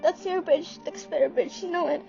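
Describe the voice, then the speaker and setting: A woman playing a bedridden wife in a radio play, her voice extremely high and fretful. She is imperious and easily indignant, pressing her point with anxious agitation. The sound is dull, with clear music running behind her. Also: fairly even intonation, normal speed. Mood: insistent, fretful. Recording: muffled recording under loud dramatic music.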